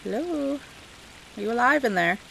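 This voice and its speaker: A woman's voice: on the high side, expressive, normal speed, vocal fry, sharp tone, a little hiss or hum, low-quality recording. A woman giving casual, chatty gameplay commentary as host, often talking aloud to the game's characters. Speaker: gaming video host.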